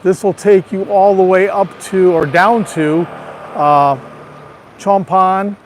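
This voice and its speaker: A man with a raspy, allergy-roughened voice chatting casually to viewers while walking outdoors, recorded on a handheld phone. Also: high-pitched, steady tone, takes it slowly, slight background noise. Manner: chatty, greets viewers by name. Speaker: livestream vlogger. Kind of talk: casual live walking tour. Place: outdoors on a town street.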